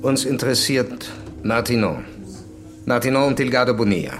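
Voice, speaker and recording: A man's voice: mid-range voice, animated, normal speed, over music, lightly acted. An actor playing a commanding police commissioner in a radio drama, terse and controlled as he applies pressure. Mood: pressing, controlled.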